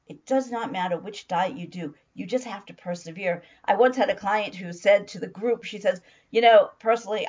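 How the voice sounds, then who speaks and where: A middle-aged woman with a hoarse voice, giving an emphatic motivational talk as a weight-loss coach and repeating phrases for stress. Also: medium pitch, muffled recording.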